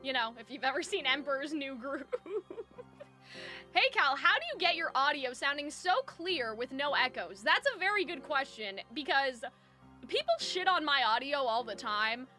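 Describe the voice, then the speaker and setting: Female streamer, very high bright voice, chatty and informal, soft music underneath. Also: moderate pace. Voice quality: high-pitched when excited. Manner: rambling, chatty, giggly, slangy. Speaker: live streamer, host. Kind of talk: casual livestream chat with viewers. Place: soundproofed room at home. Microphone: close microphone. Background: faint music.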